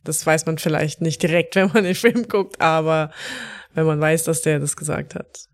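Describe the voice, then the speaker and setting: A woman's voice: sits low, fairly even intonation, moderate pace. A woman co-hosting a casual podcast, telling stories in a chatty way and laughing often. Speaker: podcast co-host.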